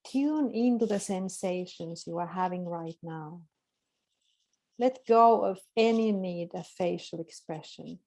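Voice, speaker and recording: A woman speaking as a webinar guest, softly and slowly leading a guided relaxation over a video call. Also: on the low side. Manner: calm, soft-spoken, slow guiding. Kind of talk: slow guided relaxation instructions.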